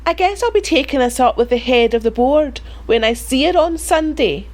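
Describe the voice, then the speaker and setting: A woman's voice: sits high, lively intonation, moderate pace, darkish tone. An actress playing a small-town mother, sweet on the surface but pointed and assertive. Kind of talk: audio drama acting.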